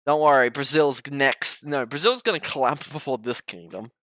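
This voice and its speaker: A man's voice: medium pitch, moderate pace, a bit bright, dry recording. A young man winding down a live gaming stream, chatting casually and stumbling over his words. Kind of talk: casual end-of-stream chat.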